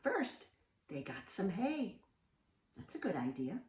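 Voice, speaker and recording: A woman reading a storybook aloud to children, expressive and sing-song, with questioning asides. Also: mid-range voice, slow, far from the mic. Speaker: children's read-aloud host. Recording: roomy, set back from mic.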